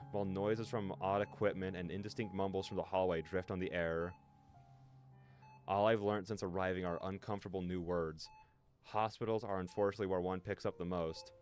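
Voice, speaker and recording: A man streaming a story game, reading narration aloud with feeling and reacting casually, with music behind him. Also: low voice.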